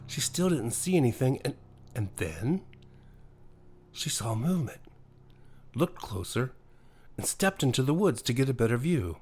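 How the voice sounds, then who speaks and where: A man's voice: mid-range voice, big pitch swings, unhurried, pauses often, a little mellow, husky. A man narrating a horror bedtime story in a dramatic storytelling style. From USA. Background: music.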